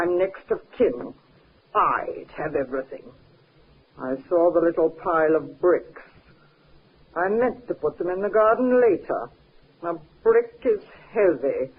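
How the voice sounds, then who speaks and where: An actress playing a bitter, jealous, scheming cousin in a 1950s radio drama, speaking with insistent, dramatic emphasis. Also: female voice, on the low side, big pitch swings, takes it slowly, long pauses, breathy edge, exaggerated voice. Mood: bitter confession. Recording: muffled, narrow-band 1950s radio recording.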